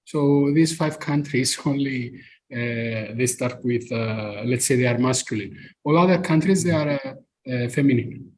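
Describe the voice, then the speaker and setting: A man with a Greek accent talks through an idea slowly, stopping often to find words. His voice sits in a middle range, and faint background noise runs under his home microphone on a video call. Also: mid-range voice, poor audio. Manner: halting, many uh fillers, explaining at length. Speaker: discussion participant. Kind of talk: live podcast group discussion.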